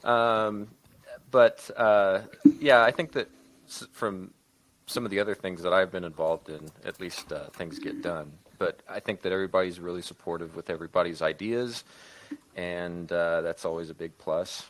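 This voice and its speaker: A man's voice: low voice, fairly even intonation, moderate pace, a bit bright, a little hiss or hum. A man talking casually as a co-producer on a discussion podcast. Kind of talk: casual chat.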